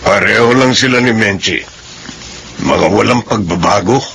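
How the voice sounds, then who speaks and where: An actor playing a stern, exasperated middle-aged Filipino uncle with a gravelly voice in a radio drama. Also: male voice, on the low side, normal speed, a bit bright, slight background noise. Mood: disapproving. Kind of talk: Philippine radio drama acting.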